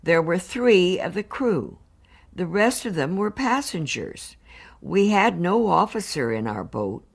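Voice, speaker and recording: Casting: composed woman survivor testifying in a docudrama, lowish voice, animated intonation, formal and precise. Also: gravelly, husky, full character voice. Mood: composed, earnest. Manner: measured, formal, precise recounting. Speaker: actor reading witness testimony. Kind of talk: dramatised reading of hearing testimony.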